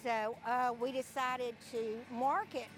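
A woman's voice: a little high, steady tone, slow, faint music behind. A woman with a Southern accent, telling a story in a casual chat and acting out the people she quotes. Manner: chatty, laughs often, acts out quoted dialogue. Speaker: local interviewee.

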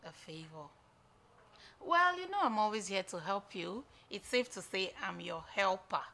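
A woman with a Nigerian accent playing a playful, excitable close friend in a film drama. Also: mid-range voice, unhurried. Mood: playful, incredulous.

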